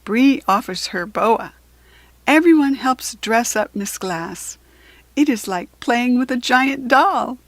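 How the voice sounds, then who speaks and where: Slow, deliberate American woman storyteller. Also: on the high side, no echo. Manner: deliberate, expressive, clearly phrased for young learners. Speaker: children's book reader. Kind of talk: read-aloud storytelling for children.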